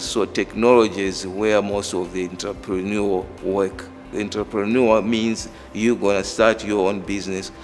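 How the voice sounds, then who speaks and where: A middle-aged man with a Malawian accent, a professor explaining work and background in a measured, halting way. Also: sits low. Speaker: engineering professor. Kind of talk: interview monologue explaining own work and background. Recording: reverberant hall, music underneath. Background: background music.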